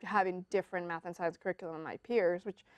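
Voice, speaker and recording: A woman's voice: fairly low pitch, steady tone, fast talker, no room sound. Young woman originally from colombia, native spanish speaker, chatting casually in an interview, says like and you know a lot, dry studio sound. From Colombia, moved to Florida as a child. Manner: informal, frequent "like" and "you know". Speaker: interview guest, venture capitalist. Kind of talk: conversational interview answers, personal recollection.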